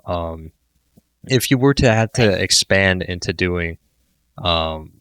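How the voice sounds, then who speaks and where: A man hosting a podcast, asking rambling, filler-heavy questions in a relaxed chat. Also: deep voice, slow, clean tone, dry recording, clean studio sound. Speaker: podcast host. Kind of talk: casual interview chat.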